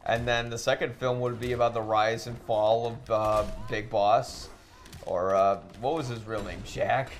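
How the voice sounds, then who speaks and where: Male podcast host, mid-pitched, opinionated and conversational, gaming as he talks, noisy recording. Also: steady tone, unhurried. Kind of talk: casual chat while playing a video game. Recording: clearly noisy room recording.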